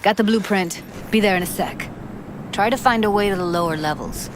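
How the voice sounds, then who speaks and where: A woman's voice: mid-range voice, fairly quick, background noise, natural voice. An actress playing a tough, protective young woman tech expert in a video game, with a blunt, urgent manner. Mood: brisk, focused. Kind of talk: naturalistic video game acting.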